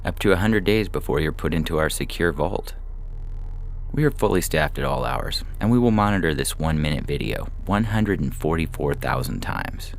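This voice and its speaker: A man voicing a calm, professional male medical technician in an audio short story, explaining procedures in a measured, reassuring way. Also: deep voice, gravelly, slight background noise. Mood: firm, explanatory. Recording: music bed under the reading.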